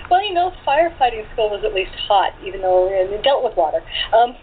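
A woman's voice: fairly high pitch, expressive, unhurried. A woman, an actress, answering casually as an interview guest. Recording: tinny phone-like call with hiss.

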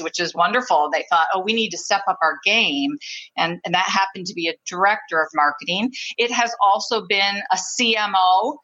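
A woman being interviewed about presenting at trade shows, talking in a warm, chatty way with fillers and small laughs. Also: on the low side, muffled recording. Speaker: trade show presenter, guest. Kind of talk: conversational interview.

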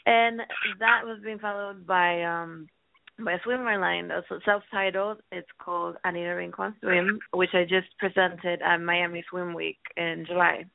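A woman in her twenties from Finland, a guest entrepreneur, answering with many pauses and restarts. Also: lowish voice, level tone, vocal fry, no room sound. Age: 20s. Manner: hesitant, many ums and restarts. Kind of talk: casual interview answers. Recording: tinny phone line.

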